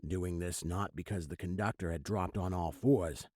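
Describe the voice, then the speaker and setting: A man's voice: low-pitched, steady tone, moderate pace, noisy background, natural voice. A man playing the narrator of a horror visual novel, recounting a nightmare in a dramatic scripted style. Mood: grave dread. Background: music.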